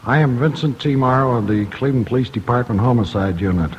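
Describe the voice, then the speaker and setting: Halting American detective, muffled recording. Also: male voice, medium pitch. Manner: halting, matter-of-fact. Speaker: homicide detective. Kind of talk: formal police report. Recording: muffled old radio, faint noise.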